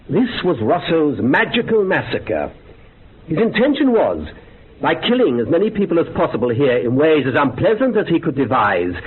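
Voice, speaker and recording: A man's voice: fairly high pitch, slightly rough. An actor in a radio horror drama playing an eager, theatrical male ghost investigator who relishes grisly detail. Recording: thin phone-like radio recording, faint noise.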